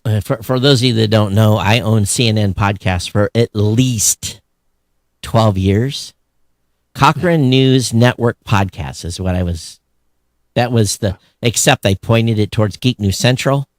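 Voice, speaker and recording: A man's voice: lowish voice, a little slow, dry recording. Blunt man co-hosting, dry close sound. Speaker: podcast co-host. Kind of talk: casual opinionated tech news chat.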